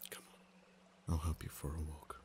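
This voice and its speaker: A man voicing a deep, ancient dragon. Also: completely flat delivery, slight background noise. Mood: musing. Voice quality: very deep, soft-spoken. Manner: slow, measured, drops to whispers. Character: ancient male dragon, proud and possessive. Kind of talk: ASMR roleplay voice acting.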